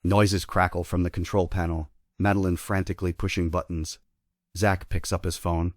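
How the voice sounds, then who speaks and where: A man's voice: low-pitched, flat delivery, moderate pace, no room sound, clean studio sound. A man narrating stage directions in a scripted table read.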